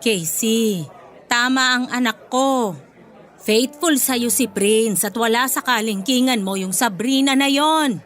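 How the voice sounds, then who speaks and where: Bossy, protective Filipino mother, raised pitch. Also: slow, a bit bright. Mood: scornful. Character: protective, scornful middle-aged mother-in-law, bossy and blunt. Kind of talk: radio drama acting. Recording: music bed behind the voice.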